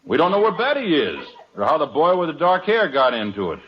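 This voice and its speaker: An actor playing an apologetic, fretful neighbor and family friend in a 1950s radio sitcom, folksy and flustered. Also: male voice, a little high, huge pitch swings, fairly quick, husky, exaggerated voice. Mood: worried. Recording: dull vintage radio recording.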